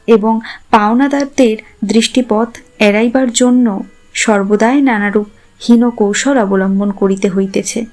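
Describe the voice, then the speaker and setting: A woman's voice: fairly high pitch, a little mellow. A woman reading a scripted literary story as its narrator. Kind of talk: scripted literary narration in formal Bengali prose.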